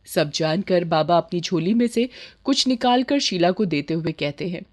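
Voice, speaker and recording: A woman's voice: lowish voice, expressive, normal speed, a little mellow. A woman narrating a scripted moral bedtime story as a storyteller.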